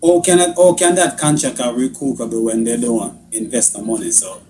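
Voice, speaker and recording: Mellow Caribbean man over a noisy line. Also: mid-range voice, steady tone, a little fast. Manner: insistent, questioning. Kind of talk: argumentative radio discussion.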